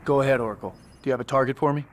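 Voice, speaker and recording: A man's voice: medium pitch, racing, background noise, lightly acted. A man voicing a tough, driven special forces commander in a video game cutscene, terse and commanding. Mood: controlled, businesslike. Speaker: military squad commander.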